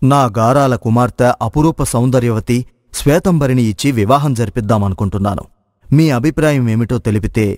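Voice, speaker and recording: A man's voice: mid-range voice, a little fast, a little mellow, dry recording, clean studio sound, natural voice. A man playing an effusive, regal storybook king. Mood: grateful, admiring. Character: effusive, generous middle-aged king, grateful and regal. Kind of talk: dramatised moral folk tale.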